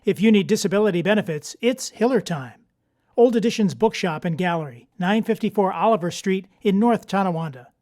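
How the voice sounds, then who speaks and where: Crisp sponsor announcer. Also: medium pitch, lively intonation, fairly quick, a little mellow. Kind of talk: scripted announcement.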